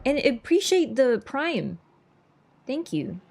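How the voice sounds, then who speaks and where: A woman's voice: sits high, big pitch swings, takes it slowly, a little mellow, breathy edge. A woman with a Toronto accent streaming a video game, chatting with viewers in a rambling, self-deprecating way. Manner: scatterbrained and rambling, self-deprecating, loses her train of thought. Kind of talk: casual livestream chat, reading and thanking viewers.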